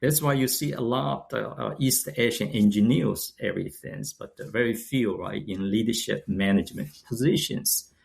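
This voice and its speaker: A man in his sixties from China, speaking English with a Chinese accent, as a guest. He talks haltingly, with frequent restarts and fillers as he searches for words. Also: fairly low pitch, creaky. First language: Shanghainese. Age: 60s. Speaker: guest, company founder. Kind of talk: casual interview talk.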